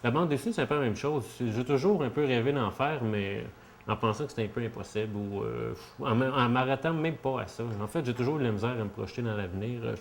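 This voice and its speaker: A man with a Québécois accent, a guest comic artist, answers interview questions in a relaxed, hesitant way with many fillers. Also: mid-range voice, fast talker, gravelly, slight background noise.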